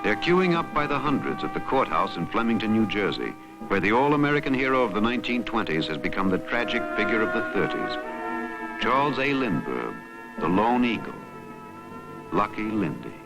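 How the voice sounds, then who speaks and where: A man delivering scripted, measured and dramatic narration for a documentary. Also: lowish voice, very animated, rough recording. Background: music bed.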